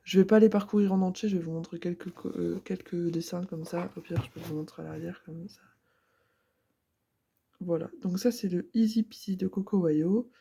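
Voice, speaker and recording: A woman's voice: fairly low pitch, steady tone, normal speed, vocal fry, dark tone, slight background noise. A woman in her twenties with a stuffy nose from a cold, chatting casually and hesitantly about her crafts. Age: 20s. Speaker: craft vlogger. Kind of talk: casual chat.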